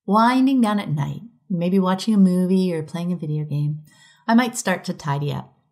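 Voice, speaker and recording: A middle-aged woman reading a prepared conference talk aloud in a measured, reflective way as a podcast host. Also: on the low side, animated, darkish tone.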